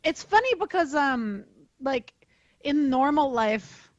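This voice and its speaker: A woman's voice: sits high, big pitch swings, takes it slowly, no echo. A woman chatting informally as a panelist, slangy and self-deprecating. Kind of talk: casual chat. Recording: slightly dull, lo-fi audio.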